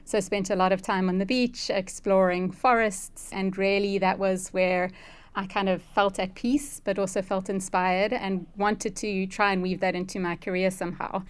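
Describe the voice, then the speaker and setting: A woman's voice: medium pitch, little pitch movement, moderate pace, clear, resonant tone. A South African woman giving thoughtful, earnest answers as an interview guest. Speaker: interview guest, conservation ecologist.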